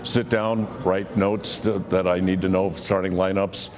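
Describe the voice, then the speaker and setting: A man's voice: deep voice, little pitch movement, unhurried, slight background noise. An older man, a sports arena announcer, chatting in a plain-spoken, self-assured way in an interview. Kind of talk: interview chat.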